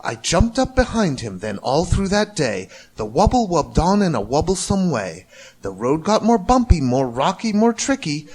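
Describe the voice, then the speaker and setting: A man narrating a rhyming children's story in an expressive, theatrical way. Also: on the high side, moderate pace, breathy edge. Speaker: storybook reader.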